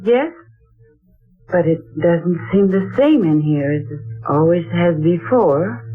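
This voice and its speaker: A woman's voice: fairly low pitch, animated, slow pace, phone-quality sound. An actress playing a nervous, sensitive young woman hosting friends, in 1940s radio acting, on a scratchy old radio recording with music. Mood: uneasy.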